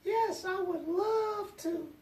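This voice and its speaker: A very high-pitched little boy puppet sidekick, acted out by a woman, polite and eager. He speaks slowly and carefully for a children's storytime video. The sound is distant and roomy, with clear noise behind it. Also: darkish tone. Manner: slow, careful, polite speech. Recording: roomy, distant, noisy pickup.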